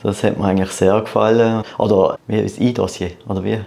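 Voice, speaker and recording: A man's voice: on the low side, level tone, fast, vocal fry, warm tone. A man in his thirties with a Swiss German accent, chatting casually as an interview guest and often repeating words as he goes. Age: 30s. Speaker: podcast guest, start-up entrepreneur.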